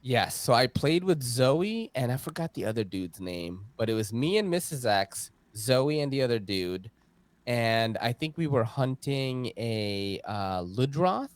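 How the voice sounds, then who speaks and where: A man in his forties telling a rambling gaming story on a casual podcast, swearing freely and breaking into loud laughter. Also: mid-range voice, very animated, measured pace. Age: 40s. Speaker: podcast host.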